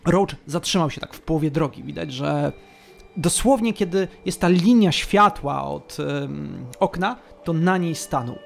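A man narrating a tabletop role-playing game as game master, telling the scene slowly and atmospherically. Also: on the high side, gravelly, slight background noise.

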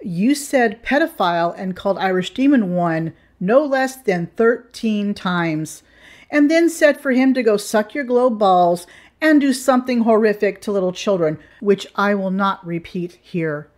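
A woman hosting her channel, reading scripted commentary in an indignant, measured way. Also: medium pitch, expressive, a little mellow. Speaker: channel host.

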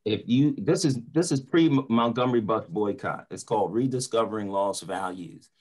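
A man's voice: on the low side, moderate pace, darkish tone. A middle-aged man from North talks. He is earnest and emphatic, like the preacher he is, and repeats words as he makes his point on a video call. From North Philadelphia, United States. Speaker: preacher and motivational speaker. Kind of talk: casual discussion in an online panel. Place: small room at home or office, indoors. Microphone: video call computer mic.

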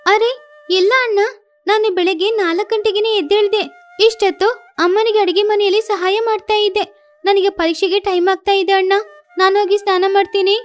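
Cartoon girl sparrow, played by a woman: young, very high voice, plaintive, complaining, dutiful. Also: fairly even intonation, moderate pace, soft background music. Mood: pleading. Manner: pleading, complaining.

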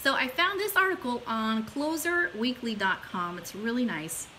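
A woman's voice: fairly high pitch, moderate pace. A middle-aged woman hosting an online show in a chatty, informal, enthusiastic way, partly reading aloud. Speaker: host of an online radio show. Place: small room indoors at home or office. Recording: steady background hiss.

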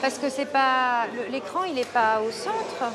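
A woman organizer briefs staff and contestants in a brisk, directive way inside a large venue. Her voice is high. A loud crowd chatters all around her. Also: lively intonation. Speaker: show organizer.

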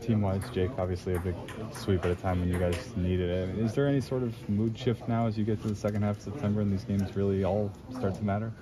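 A male sports reporter asks questions in a very low voice at a quick clip. The room around him is busy with clear background chatter. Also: little pitch movement. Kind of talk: press questioning. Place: clubhouse locker room, indoors.

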